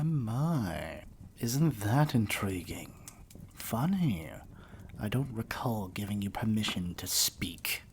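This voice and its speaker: Guy doing an evil king for asmr, real slow and haughty, condescending and theatrical, bit of hiss on the recording. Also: fairly low pitch, darkish tone. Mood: smug, silky. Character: haughty evil sorcerer king, menacing, imperious, vain. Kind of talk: ASMR roleplay acting.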